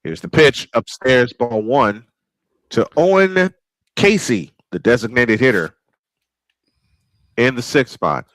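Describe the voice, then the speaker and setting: A man's voice: mid-range voice, lively intonation, slow pace, long pauses, a bit bright, dry recording, rough recording. A man calling live baseball play-by-play in a folksy, old-school broadcaster cadence. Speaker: play-by-play announcer.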